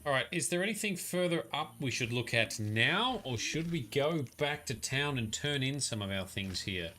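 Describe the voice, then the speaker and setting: Brief: male streamer, bright tone, chatty and self-correcting, playing along with chat. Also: medium pitch, animated, normal speed, a little hiss or hum. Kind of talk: live gameplay commentary.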